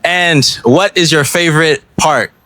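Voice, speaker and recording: Hyped slangy host outdoors. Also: male voice, fairly high pitch, unhurried, bright, forceful tone, no echo. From Los Angeles. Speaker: podcast host interviewing filmmakers. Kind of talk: casual on-location interview. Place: outdoors at a film festival, sirens nearby.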